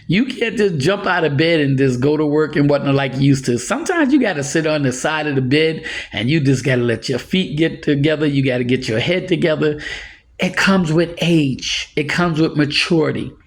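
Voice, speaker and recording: A man's voice: fairly high pitch, expressive, moderate pace. A man in his sixties giving earnest, rambling life advice in a casual monologue, chuckling now and then. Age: 60s. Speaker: motivational speaker.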